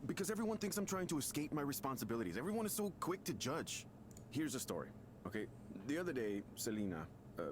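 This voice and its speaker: A man's voice: on the low side, background noise, lightly acted. A man playing a defensive, self-absorbed, short-tempered expectant father in a video game, stammering indignantly as he confides. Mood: exasperated. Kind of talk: video game voice acting, confessional monologue. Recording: music behind the voice.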